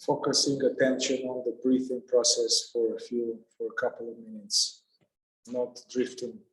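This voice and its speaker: A man leading a guided meditation, calm and unhurried, speaking in short phrases with long pauses between them. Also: medium pitch, roomy sound, poor audio.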